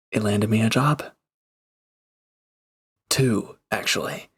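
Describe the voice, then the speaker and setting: A man's voice: fairly low pitch, lightly acted. A man playing a reformed villain turned mercenary in an intimate roleplay audio drama, speaking casually and hesitantly with awkward, earnest gratitude. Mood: casual, teasing.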